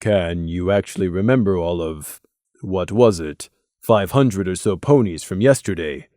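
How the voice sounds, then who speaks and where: A man voicing a reserved male winged pony guard with an understated, halting manner in an audiobook story. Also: low-pitched, mellow, no room sound, clean studio sound, pitched below the performer's own voice. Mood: curious. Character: Crimson, low-voiced reserved male pegasus guard stallion.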